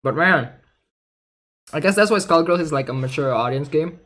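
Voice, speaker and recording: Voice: medium pitch, moderate pace, a bit bright. A streamer giving casual, conversational commentary on a game during a livestream. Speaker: streamer, host.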